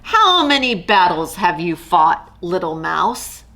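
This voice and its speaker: A woman voicing a big, lazy male lion king in a storybook read-aloud, with a slow, lordly drawl. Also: fairly low pitch, big pitch swings, bright, forceful tone, exaggerated voice.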